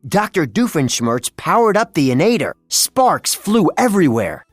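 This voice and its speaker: A narrator reading a children's story aloud in a scripted, dramatic and deliberate way. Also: medium pitch, big pitch swings, no room sound, faint music behind.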